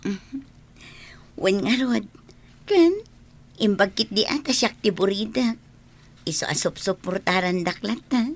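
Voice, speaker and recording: An actress with an Ilocano accent playing a caring, dutiful young woman and loyal friend in a radio drama, speaking gently. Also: mid-range voice, animated, unhurried, pauses often.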